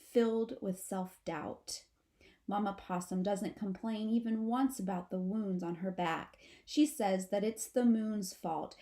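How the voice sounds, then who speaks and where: A woman reading a children's story aloud like a teacher, in a measured, expressive way. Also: mid-range voice. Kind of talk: storybook narration.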